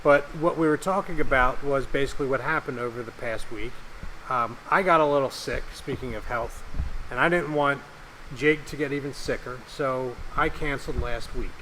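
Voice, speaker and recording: Raspy, bright-voiced podcast host. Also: male voice, mid-range voice, normal speed, a little hiss or hum, poor audio. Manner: rambling, jokey, swears casually. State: slightly raspy from coughing. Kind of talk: casual podcast chat.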